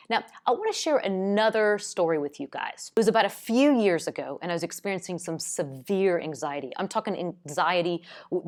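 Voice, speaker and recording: Earnest woman with a lowish voice. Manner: loud, outspoken voice. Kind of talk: earnest teaching talk to camera.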